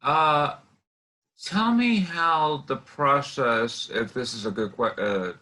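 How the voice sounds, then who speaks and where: A man hosting an informal interview, asking rambling questions in a halting way as he searches for words. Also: mid-range voice, bright, forceful tone, rough recording. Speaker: interview host.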